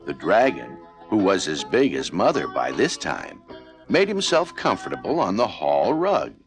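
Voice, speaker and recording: Male children's storybook narrator, fairly low voice, highly animated intonation, music clearly behind. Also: a little slow. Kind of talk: scripted children's read-along narration.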